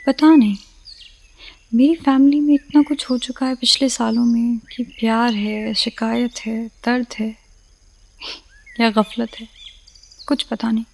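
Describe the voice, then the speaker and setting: Guarded, poetic young Ruchi. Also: female voice, on the high side, level tone, unhurried, creaky, long pauses, a little mellow. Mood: bittersweet. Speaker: radio drama actor. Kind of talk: radio play acting.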